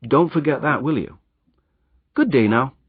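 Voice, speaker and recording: A man voicing a brisk, official young male road inspector, in a dull, dry recording. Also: medium pitch, expressive, husky, no echo, voice pushed up.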